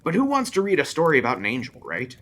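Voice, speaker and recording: A man's voice: a little high, huge pitch swings, fast, a bit bright, voice pushed up, full character voice. A man voicing a blunt retired Air Force man at a book club in a read-aloud story. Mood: emphatic, blunt.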